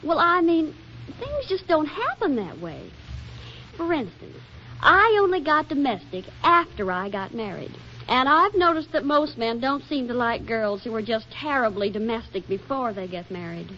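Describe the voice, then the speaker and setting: High-pitched, theatrical young radio wife. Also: female voice, very animated, normal speed, bright, forceful tone, heavily acted. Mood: arch, doubtful. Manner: arch, dramatic, emphatic. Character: chatty, theatrical young wife, playful and insistent. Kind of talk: 1940s radio comedy acting. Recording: muffled, noisy 1940s radio recording.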